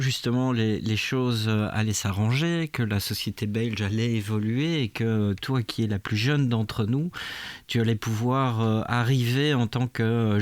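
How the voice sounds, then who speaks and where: A man hosts a podcast and asks his guests gentle, probing questions. His voice sits in a middle register, recorded dry and close. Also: medium pitch, no room sound. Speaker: podcast host. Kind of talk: interviewing guests.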